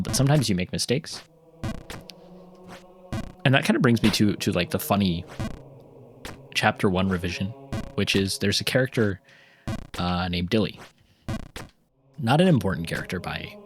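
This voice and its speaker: A man with a fairly low voice talks his way through a video game, drifting between topics with frequent ums and restarts. His chatter is loose and unscripted, with the odd laugh. Faint music plays underneath. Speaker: gaming YouTuber and novelist. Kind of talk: casual commentary while playing.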